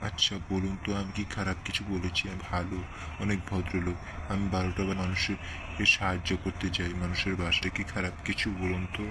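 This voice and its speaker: A man acts out a sly old rascal of an uncle in a comic cartoon. His voice is very deep and stays flat as he grumbles and wheedles. Noticeable noise sits in the recording. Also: brisk, lightly acted. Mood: self-pitying, innocent. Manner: wheedling, self-justifying, grumbling. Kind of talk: comedy cartoon dialogue. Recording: clearly noisy background.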